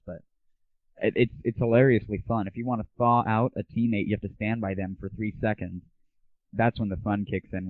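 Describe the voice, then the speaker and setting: A man's voice: lowish voice, dry recording. An American man hosting a show with a casual, comic monologue style. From United States. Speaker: show host. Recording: dry, phone-like lo-fi audio.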